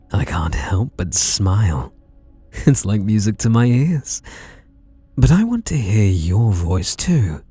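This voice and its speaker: British guy doing a creepy obsessed slasher, flirty and menacing, dark husky voice, intimate asmr roleplay. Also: fairly low pitch, dry recording, exaggerated voice. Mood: twisted, amused. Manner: teasing, softly menacing, drawn-out delivery with sudden swoops.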